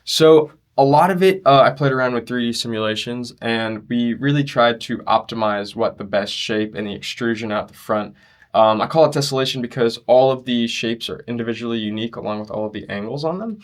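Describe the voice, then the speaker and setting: A young man in a conversational interview, explaining his loudspeaker design work earnestly and in detail. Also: mid-range voice, fairly quick. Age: young adult. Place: demo room at an audio show.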